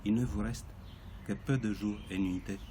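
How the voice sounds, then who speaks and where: A man reads poetry aloud in a lowish voice. He shapes it as a measured recitation, pausing deliberately between phrases. Faint outdoor ambience sits behind him. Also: steady tone, warm tone, slight background noise. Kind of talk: poetry reading.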